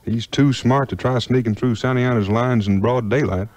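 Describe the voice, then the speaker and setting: A man acting a calm, folksy frontier hero with a Southern drawl in a 1950s record audio play. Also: medium pitch, no echo, natural voice. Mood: assured, wry. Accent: American Southern frontier drawl. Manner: unhurried, plainspoken, homespun.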